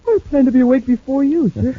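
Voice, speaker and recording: An actor playing an eager young space cadet sidekick, chatty and joking, in a 1950s radio drama. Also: male voice, very high voice, fast talker, no echo. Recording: muffled old radio recording with faint hiss.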